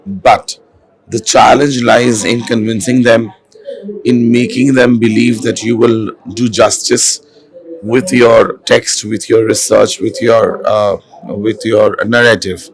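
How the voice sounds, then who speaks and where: A hoarse man, an author and film director, speaking in a festival interview with many fillers and restarts. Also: lowish voice, fairly even intonation, takes it slowly, faint music behind, rough recording. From India. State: hoarse, has lost his voice. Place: literature festival venue. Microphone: handheld mic.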